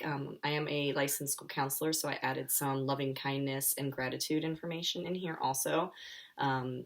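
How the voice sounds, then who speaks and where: A woman with an American accent and a low-pitched voice gives an informal live read-aloud for children. She speaks as an author, with chatty, friendly asides to her young listeners. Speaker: children's author and school counselor.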